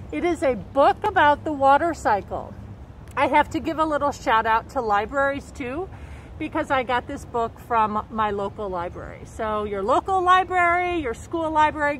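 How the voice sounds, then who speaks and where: Very high-voiced woman reading to children. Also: rough recording. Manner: expressive, carefully enunciated picture-book delivery. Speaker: storybook reader and nature educator. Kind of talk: read-aloud storytelling for young children. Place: outdoors by a woodland creek. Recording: outdoor background noise.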